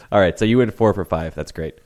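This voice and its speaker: A man's voice: low-pitched, normal speed, a little mellow. A man hosting a casual podcast chat, joking, laughing and swearing easily. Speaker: podcast host.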